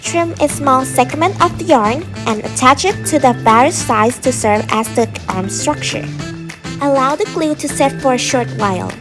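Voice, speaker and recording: High-pitched young woman narrating over music. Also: expressive, moderate pace. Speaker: tutorial narrator. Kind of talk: scripted craft tutorial narration. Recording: music behind the voice.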